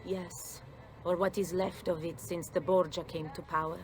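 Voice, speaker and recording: An actress playing a gentle, practical Italian woman tending the wounded in a video game, with an Italian accent. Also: lowish voice, flat delivery, moderate pace, slight background noise, close to an everyday voice. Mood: soothing, matter-of-fact.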